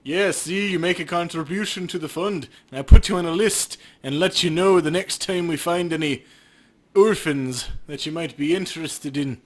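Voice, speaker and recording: Sleazy crooked game cop, played by a man, raised pitch, smug and wheedling. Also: normal speed, lightly acted. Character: sleazy grinning corrupt police officer angling for bribes.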